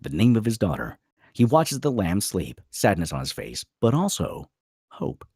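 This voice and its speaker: A man reads stage directions as dramatic narration. His voice is a little low and husky, very animated in pitch, with clipped phrasing and a dry, close recording. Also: moderate pace, dry recording. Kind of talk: dramatic scripted narration at a table read.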